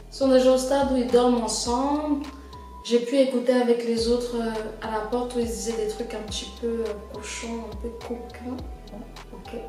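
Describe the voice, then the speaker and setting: A woman's voice: on the high side, dark tone. A woman on a reality show, talking to the camera in casual slang and gossiping about other contestants. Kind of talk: confessional to camera. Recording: echoey room, from far off, music behind.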